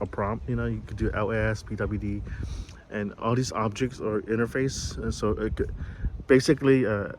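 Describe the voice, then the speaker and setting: A man from Vietnam talking informally and unscripted outdoors, in a halting way with broken-off, repeated phrases. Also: lowish voice, slight background noise. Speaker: vlogger and software developer. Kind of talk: informal unscripted monologue to camera. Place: outdoors, city view behind.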